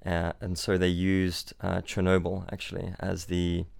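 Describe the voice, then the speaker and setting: A man's voice: deep voice, monotone, a little slow, creaky, darkish tone, dry recording. A young man born in Switzerland and raised in Australia, a startup founder chatting casually as a podcast guest, often repeating words and restarting sentences. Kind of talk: casual interview chat. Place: London.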